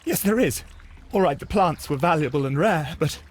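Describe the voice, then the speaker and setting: An actor playing an earnest, grieving gardens worker in a video game, speaking politely and hesitantly in a sombre manner. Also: male voice, on the high side, expressive, moderate pace. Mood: grieving, hurt. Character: grieving, earnest son working at botanic gardens.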